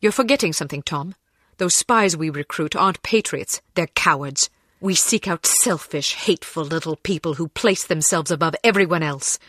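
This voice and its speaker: A fairly low female voice with a British accent, recorded dry, plays a young secret agent. She is composed, principled and drily witty. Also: moderate pace, a bit bright, dry recording. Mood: indignant. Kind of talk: video game voice acting.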